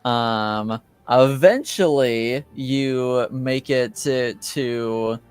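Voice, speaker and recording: A man narrating a tabletop roleplaying game as game master, with a hesitant, halting delivery. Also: medium pitch, clear, resonant tone, soft background music.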